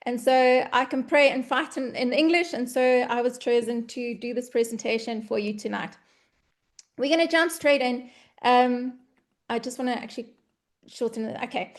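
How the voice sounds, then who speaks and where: A woman's voice: a little high, steady tone, moderate pace. A woman from South Africa with an Afrikaans accent, Afrikaans her first language, giving an earnest, heartfelt webinar presentation with frequent ums and restarts. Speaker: presenter of an online business meeting.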